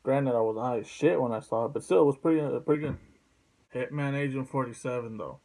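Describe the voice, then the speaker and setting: Blunt, halting man giving casual opinions. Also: medium pitch, darkish tone, low-quality recording. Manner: blunt, profane. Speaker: video opinion host.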